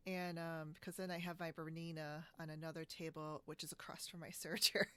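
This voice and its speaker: A woman hosting a podcast in casual, informal conversation, chatty and quick to giggle. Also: deep voice, little pitch movement, pushed and bright, clear, resonant tone, dry recording. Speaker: podcast host. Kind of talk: casual chat about sewing. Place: indoors, split-screen video call.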